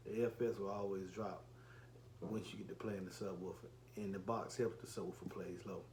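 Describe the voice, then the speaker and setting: Low-voiced man in an echoey room. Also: fairly even intonation, brisk, a little mellow. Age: 40s. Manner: rambling, often repeats and corrects himself. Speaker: car audio reviewer and enclosure builder. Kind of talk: casual unboxing vlog talk. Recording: echoey room with faint background noise.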